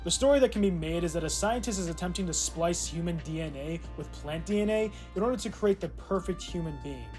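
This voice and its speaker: A man's voice: high voice, a little fast, hardly any pauses, a little mellow. A man giving casual, sarcastic and irreverent commentary as a video essay host, mixing scripted lines with offhand asides. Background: music.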